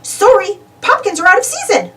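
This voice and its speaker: Woman as a lilting, high fairy godmother. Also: sing-song, normal speed, pushed and bright, exaggerated voice. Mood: brisk. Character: kindly, brisk female fairy godmother. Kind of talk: storybook character voice. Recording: distant mic.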